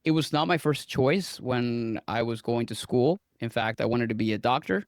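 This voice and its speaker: A man's voice: medium pitch, animated, normal speed, no room sound. An earnest man answers interview questions like a storyteller. He sometimes repeats a word as he speaks. His voice is mid-range, and the sound is dry and close to the mic. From Venezuela. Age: 30s. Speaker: guest dietitian.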